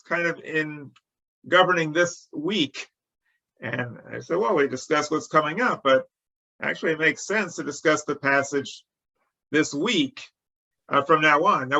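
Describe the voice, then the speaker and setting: A man speaking as a rabbi, giving conversational religious teaching in a deliberate storytelling way with emphatic phrasing. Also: on the high side, bright, forceful tone, highs cut off. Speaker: rabbi, teacher of a weekly Torah study.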